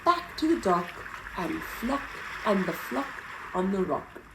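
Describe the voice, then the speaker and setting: Slow, sing-song woman reading to kids. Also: medium pitch, sing-song, background noise. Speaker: children's story reader. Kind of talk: reading a picture book aloud.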